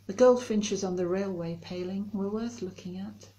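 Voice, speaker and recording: A woman reciting poetry in a slow, measured delivery as a reader. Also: medium pitch, mellow. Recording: faint background noise and ambience.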